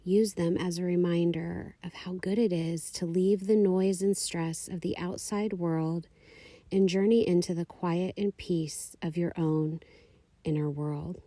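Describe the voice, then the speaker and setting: Slow, mellow woman guiding meditation. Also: lowish voice, dry recording. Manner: calm, soothing, unhurried instruction. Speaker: meditation guide. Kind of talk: guided meditation, slow scripted narration.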